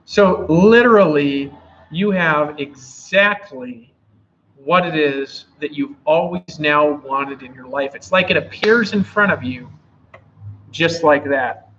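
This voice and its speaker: A hoarse man giving a rambling, repetitive freestyle motivational talk to a live audience, often restarting his phrases. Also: fairly high pitch, takes it slowly, fairly bright tone. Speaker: motivational speaker. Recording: dull lo-fi with faint background hiss.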